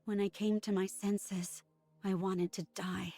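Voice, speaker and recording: A woman's voice: mid-range voice, flat delivery, a little slow, warm tone, no room sound. A woman voicing a subdued, earnest, remorseful female android soldier in a dramatic video game scene. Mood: remorseful, haunted. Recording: music behind the voice.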